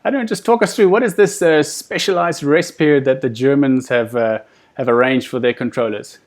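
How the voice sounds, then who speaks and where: A man's voice: medium pitch, brisk. A man hosting an interview, asking long, encouraging questions in a conversational style. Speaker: interview host.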